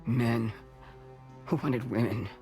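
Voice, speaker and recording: An actor playing a weary, grieving doctor in a video game drama, speaking in halting, measured phrases. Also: male voice, mid-range voice, mellow, faint music behind. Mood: somber. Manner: halting, measured delivery in short broken phrases. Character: grieving, weary doctor who delivers babies, pleading for refugee mothers.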